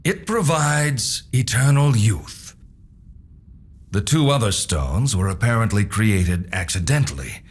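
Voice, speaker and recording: A calm, cryptic older mentor, explains in a fairly low, measured voice. His intonation is very varied, in video game cutscene style, over faint noise. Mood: solemn, explaining. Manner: measured, expository.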